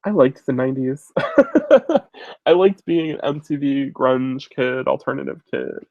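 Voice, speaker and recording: A man's voice: mid-range voice, a little slow, gravelly, dry recording, narrow, tinny audio. A man in his thirties, a little sick and sniffling, casually answering viewer questions as host, rambling with lots of fillers. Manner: rambling, many fillers and restarts. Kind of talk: casual chat.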